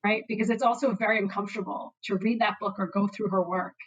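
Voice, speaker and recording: A woman's voice: mid-range voice, fairly even intonation, quick pace, slightly rough, dull audio. A woman whose first language is Bengali, a guest speaking emphatically and passionately in an interview, telling personal examples. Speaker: guest, diversity executive. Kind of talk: podcast interview.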